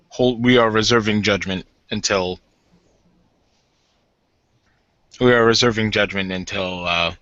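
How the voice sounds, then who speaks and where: A deep, bright-edged warrior leader played by a man in a tabletop game. He speaks formally and deliberately, stopping to restate himself. The close, dry sound is slightly dulled. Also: dry recording, lo-fi sound. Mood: guarded, deliberate. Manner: halting, measured, formal phrasing. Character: gruff werewolf warden, formal, deliberate, battle-minded leader.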